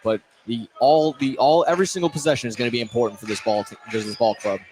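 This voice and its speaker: A man's voice: mid-range voice, fast, a little hiss or hum, low-quality recording. A man giving casual, conversational analysis of a live basketball game as color commentator. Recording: loud crowd behind the voice. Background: loud gym crowd.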